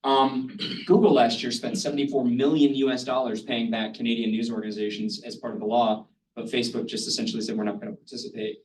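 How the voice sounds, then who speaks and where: A man's voice: mid-range voice, little pitch movement, fast, hardly any pauses, a little mellow. Man speaking on a panel, distant and echoey. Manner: loose and conversational, with many "um"s and "kind of"s. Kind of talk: panel discussion talk. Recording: roomy hall, distant mic, faint noise.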